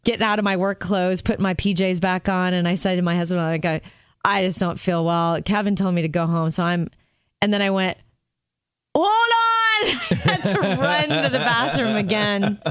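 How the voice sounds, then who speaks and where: A woman co-hosting a radio show, telling a story in an animated way and acting out the quotes. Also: mid-range voice, moderate pace, clear, resonant tone, no echo. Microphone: studio microphone.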